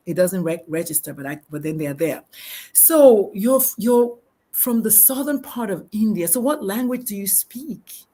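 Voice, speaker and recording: A woman with a Nigerian accent hosting a live interview, talking in an excitable, rambling, effusive way. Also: mid-range voice, husky. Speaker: host.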